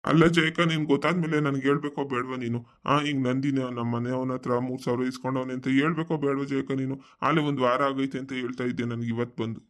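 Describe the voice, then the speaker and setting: A man's voice: medium pitch, steady tone, fast talker, hardly any pauses, a bit bright, lightly acted. A man playing a stern middle-aged village father in a cartoon family story, questioning and reproachful. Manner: interrogating, reproachful.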